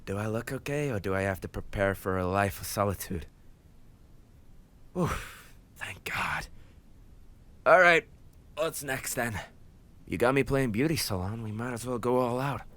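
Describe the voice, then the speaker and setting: A man playing a tough but soft-hearted gang leader boyfriend in an intimate romantic audio roleplay, affectionate and playfully teasing, with mock complaints. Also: mid-range voice, expressive, unhurried, pauses often. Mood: mock grumpy, playful.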